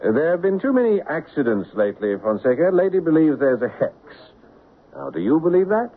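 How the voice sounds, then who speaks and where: An actor playing a dismissive, teasing estate owner in an old radio drama. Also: male voice, a little high, big pitch swings, a little fast, a little hiss or hum. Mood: skeptical. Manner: teasing, skeptical. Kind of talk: 1970s radio drama acting. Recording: tinny old radio broadcast.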